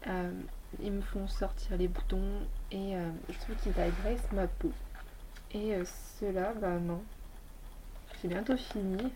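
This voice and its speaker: Woman vlogger with a bit of a cold, talks easy and rambly about her stuff, mid pitch, faint hiss behind. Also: steady tone, measured pace, mellow, poor audio. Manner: chatty, rambling, easygoing. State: slightly sick with a head cold. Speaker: beauty vlogger. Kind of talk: casual product review chat.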